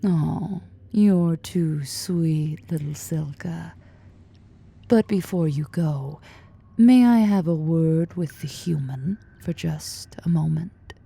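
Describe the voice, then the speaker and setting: A woman plays an imperious spider-woman of fantasy audio roleplay, very dark and velvety in tone. She speaks low and unhurried, with a husky breath, teasing as she gives commands. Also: full character voice. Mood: sultry, tender. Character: powerful, imperious, flirtatious female drider, half-spider monster. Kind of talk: ASMR fantasy roleplay acting.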